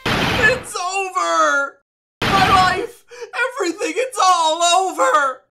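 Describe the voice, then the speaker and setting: Shrill, wailing hysterical young man. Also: lively intonation, slow, vocal fry, pushed and bright, soft background music, heavily acted. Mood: hysterical despair. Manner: wailing, over-the-top, whiny. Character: hysterical, melodramatic young man, hapless accused defendant. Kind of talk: game fandub acting.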